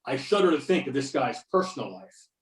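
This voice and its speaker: A man's voice: medium pitch, sing-song, brisk, natural voice. Man playing a sardonic FBI agent, roomy echo. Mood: wry. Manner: sarcastic, pointed questioning. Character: sardonic, needling male FBI agent, confident and tough. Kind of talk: amateur readers-theater script reading. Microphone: videoconference or conference call. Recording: roomy echo, distant microphone.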